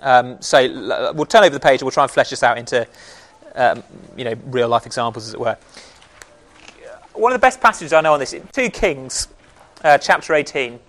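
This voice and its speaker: A man's voice: mid-range voice, animated, normal speed, a bit bright. A man leads a group through a Bible passage, thinking aloud as he goes. His voice sits in a plain middle register, and his delivery is loose and conversational, with hesitations and self-corrections. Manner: conversational, with frequent ums and restarts. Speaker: Bible study leader. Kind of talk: casual teaching talk.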